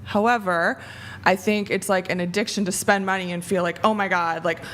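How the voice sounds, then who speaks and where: A woman's voice: mid-range voice, steady tone, fairly quick, a bit bright, a little hiss or hum. A woman who works as a matchmaker, chatting on a podcast panel and saying "like" a lot. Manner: chatty, lots of "like". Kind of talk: casual panel chat. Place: bright studio with a large panel. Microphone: desk mic on arm.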